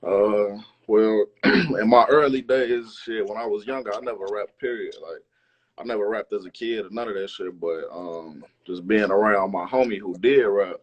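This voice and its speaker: Low-voiced slangy man chatting casually. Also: moderate pace, muffled recording. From Chicago, United States. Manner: slangy, profane, informal. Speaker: rapper, interview guest. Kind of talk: casual chat. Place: remote livestream interview.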